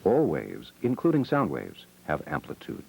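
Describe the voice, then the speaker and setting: Deep, creaky-voiced male educational narrator. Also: wildly swooping pitch, darkish tone, a little hiss or hum, poor audio. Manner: measured, short simple explanatory sentences. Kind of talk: scripted educational film narration. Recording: old VHS educational film soundtrack. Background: music.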